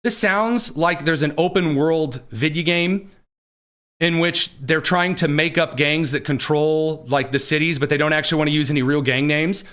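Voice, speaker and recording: A man from Indiana hosting a political commentary show, mocking and sarcastic, with quick asides. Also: a little high, fairly bright tone, clear, resonant tone. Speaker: host.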